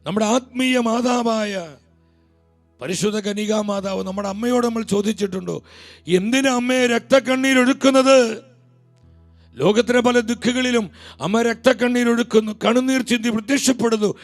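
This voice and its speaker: A male preacher delivers an impassioned sermon in a high, gravelly voice. He swings between loud declaiming and hushed pleading, and faint music plays underneath. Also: fairly even intonation, fairly quick.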